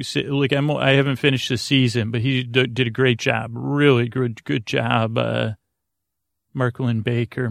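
A middle-aged man delivers a meandering bedtime monologue as a podcast host, halting often and looping back on himself. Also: medium pitch, gravelly, dry recording, clean studio sound. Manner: hesitant, halting, trails off and loops back.

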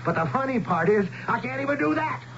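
A man's voice: very high-pitched, very animated, a little fast, fairly bright tone, exaggerated voice. An actor playing a bitter, weary small-town man of forty who gripes in folksy, ungrammatical, sarcastic talk in a radio drama. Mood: sarcastic, fed up. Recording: muffled, noisy 1970s radio broadcast.

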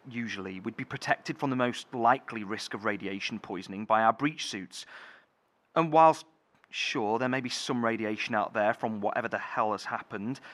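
Man acting as cautious head engineer, British accent, sharp bright tone, formal, post-apocalyptic audio drama. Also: medium pitch, natural voice. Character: cautious, dutiful male head engineer of a survival bunker.

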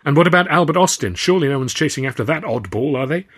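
Man acting an arch, gossipy young woman, husky. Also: on the high side, expressive, fast, voice pushed up. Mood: coy, scandalised. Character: inquisitive, teasing young Englishwoman, easily scandalised.

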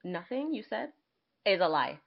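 A woman's voice: fairly low pitch, very animated, normal speed, gravelly, dull audio. A woman in her twenties co-hosting a podcast in a casual chatty style, emphatic and repeating her points for stress. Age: 20s. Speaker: podcast co-host. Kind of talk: casual conversational discussion.

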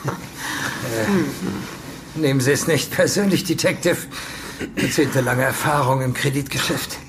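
An actor playing a middle-aged, evasive and condescending property manager mixed up in crime, in an audio drama. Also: male voice, mid-range voice, a little slow, slight background noise, close to an everyday voice. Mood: smug, evasive. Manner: evasive, condescending, swearing when rattled. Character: cornered, sneering older property manager in crime business.

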